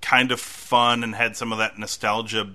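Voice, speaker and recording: Very bright-voiced chatty man. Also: fairly low pitch, level tone, moderate pace. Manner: laughing, stammering restarts. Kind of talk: casual chat.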